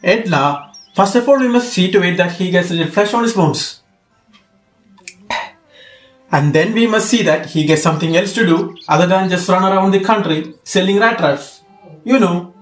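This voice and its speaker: A man's voice: sits high, a little fast, soft background music, close to an everyday voice. A man acting a hearty middle-aged ironmaster in a student radio drama, earnest and coaxing. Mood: reassuring. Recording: echoey room.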